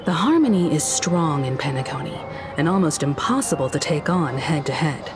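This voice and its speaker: A woman's voice: low-pitched, animated, moderate pace. An actress playing a poised, thoughtful woman leader in a video game, composed and articulate, with music behind her. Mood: pondering. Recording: studio voice under game music.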